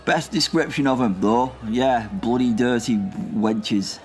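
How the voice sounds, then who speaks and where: A man's voice: medium pitch, slow pace, soft background music, close to an everyday voice. A man playing a grumpy, rambling old war veteran who curses constantly. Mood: cantankerous. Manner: rambling, cursing, confused. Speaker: comic character.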